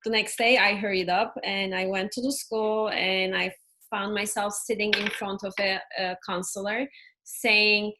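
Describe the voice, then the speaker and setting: A woman from Turkey whose first language is Turkish, a family coach telling her story softly with many fillers over a video call. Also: medium pitch, steady tone, a little slow, noisy background. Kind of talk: casual interview conversation.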